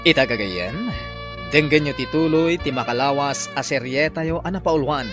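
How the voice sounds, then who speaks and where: A man narrating a radio drama as its announcer, in a dramatic scripted style with drawn-out, emphatic titles. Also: fairly high pitch, music in the background.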